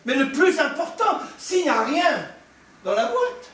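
Older male preacher, humorous asides, very high voice, distant roomy hall sound. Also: sing-song, a little slow, fairly bright tone, a little hiss or hum. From Scotland. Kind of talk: sermon. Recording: echoey hall, distant mic.

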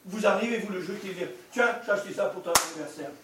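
Older male preacher, humorous asides, very high voice, distant roomy hall sound. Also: quick pace, fairly bright tone, slight background noise. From Scotland. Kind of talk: sermon. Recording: echoey hall, distant mic.

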